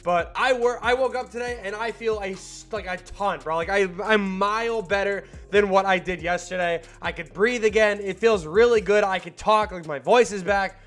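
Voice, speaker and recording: High-voiced hyped young gamer guy. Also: normal speed, hardly any pauses, fairly bright tone. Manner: hyped, slangy and rambling, with filler words. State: still a little stuffed up from a cold. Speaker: gaming YouTuber. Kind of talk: casual gameplay commentary.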